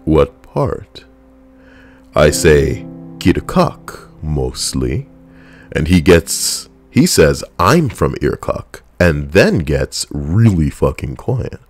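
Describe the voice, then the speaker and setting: A young man with a very low voice recounts a personal story in a blunt, conversational way, swearing freely. Soft background music plays faintly under his voice. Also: sing-song, extremely slow. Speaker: veteran storyteller.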